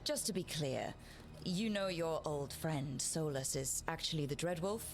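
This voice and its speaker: An actress playing a steady, resolute young party leader in a fantasy game, with a plain, earnest, decisive delivery. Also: female voice, low voice, measured pace. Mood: earnest, inquiring.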